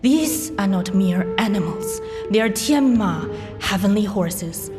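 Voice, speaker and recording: A woman playing a calm imperial astrologer in an audio drama, deliberate and portentous in manner. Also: medium pitch. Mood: solemn, portentous. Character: calm, solemn female imperial court astrologer. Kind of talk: amateur audio drama acting. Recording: hall reverb with music behind.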